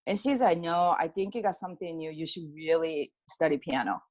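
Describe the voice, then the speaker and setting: A woman's voice: low-pitched, fairly quick, a little mellow, lo-fi sound. A middle-aged woman from Japan with a Japanese accent, telling personal stories casually and informally as an interview guest. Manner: informal, peppers talk with "like" and acts out quoted exchanges. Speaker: guest, pianist and energy healer.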